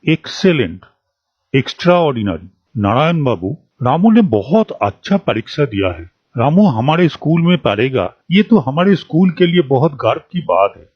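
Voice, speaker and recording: Guy as a cartoon school principal, formal n proud, raspy highish voice, muffled dry audio. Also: sing-song, normal speed, no echo. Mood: impressed, proud. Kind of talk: animated story dialogue. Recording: dull, dry lo-fi studio track.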